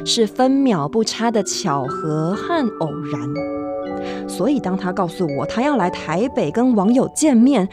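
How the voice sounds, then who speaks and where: A thirtyish storyteller in a radio drama, medium in pitch. She is wry and measured, lingering on dramatic pauses while music plays behind her. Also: big pitch swings. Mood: wry, reflective. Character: wry woman narrator telling of her college friend.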